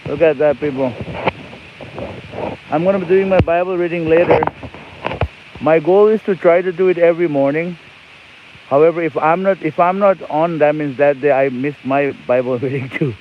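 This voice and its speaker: A man's voice: fairly high pitch, moderate pace, pauses often. A man from Nepal, chatting cheerfully and rambling while he livestreams from an e-bike outdoors on his phone. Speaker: livestream host. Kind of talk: casual livestream chat. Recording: lo-fi phone stream with loud outdoor noise.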